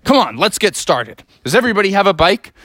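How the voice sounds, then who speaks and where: A man's voice: high-pitched, sing-song, fast, bright, forceful tone, no room sound, heavily acted. A man voicing a boisterous, pushy beaver father in a made-up bedtime story, blustering and overexcited.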